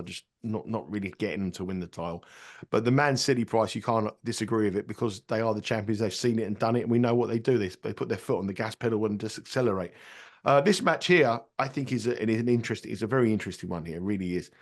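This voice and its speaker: A man's voice: fairly low pitch, fast talker. A man with a head cold, giving football betting tips in rambling podcast chat. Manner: rambling, repeats words and restarts sentences. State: congested with a cold. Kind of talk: casual betting analysis chat.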